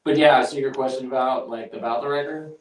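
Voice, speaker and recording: A man's voice: medium pitch, fairly even intonation, a little fast. A man of about forty, a band member being interviewed, chatting casually in a laid-back, slangy way. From United States. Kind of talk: casual chat. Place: echoey room. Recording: echoey room, distant from mic.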